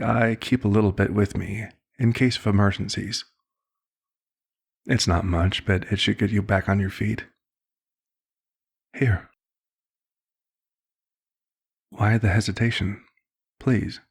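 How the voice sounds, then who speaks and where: A man playing a courteous elder vampire doctor in an ASMR roleplay, speaking formally and with measured, concerned authority, in a gravelly, husky voice. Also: on the low side, heavily acted. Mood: gently insistent. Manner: formal, measured, polite wording. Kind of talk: ASMR roleplay, scripted one-sided dialogue.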